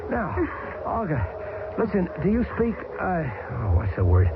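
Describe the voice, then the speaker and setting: An actor playing a wry, joking bush pilot in a 1970s radio drama. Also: male voice, fairly high pitch, huge pitch swings, unhurried, creaky, heavily acted. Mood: urgent. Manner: wry, joking under strain. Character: wisecracking male American bush pilot, easygoing. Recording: tinny lo-fi old radio broadcast, hissy.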